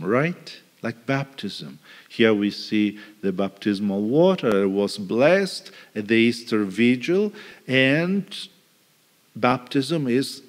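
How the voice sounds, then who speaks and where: A middle-aged male priest preaching a homily at a lectern in a large church, reflective, with pauses and restarts. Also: medium pitch. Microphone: stand mic at lectern. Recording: reverberant church hall.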